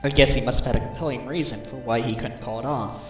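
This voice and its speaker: A man's voice: mid-range voice, fairly even intonation, fast talker, lightly acted. A man voicing a wry, determined defense attorney in a video game fandub, questioning assertively with dry asides. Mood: skeptical. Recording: amateur home recording under game music.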